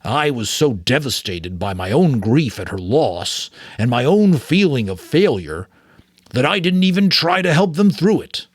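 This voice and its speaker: A man's voice: medium pitch, big pitch swings, dry recording, clean studio sound, pitched above the performer's own voice. Man voicing a solemn old mentor. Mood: sorrowful. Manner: slow, deliberate, solemn. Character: grave, weary, thoughtful old male mentor. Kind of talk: audiobook character reading.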